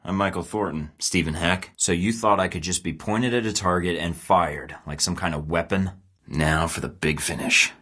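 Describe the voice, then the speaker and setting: A sardonic deep-voiced rogue spy. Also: male voice, fairly bright tone. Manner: sarcastic, clipped. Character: cocky male rogue spy, sardonic and defiant. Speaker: secret agent protagonist. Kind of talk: video game voice acting. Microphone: studio recording.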